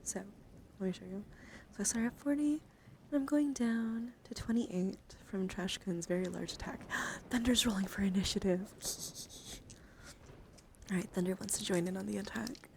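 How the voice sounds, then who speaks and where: A woman livestream host chatting casually, often dropping into soft murmurs and whispers. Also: medium pitch, moderate pace, pauses often. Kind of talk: casual live-stream chat. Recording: steady background noise.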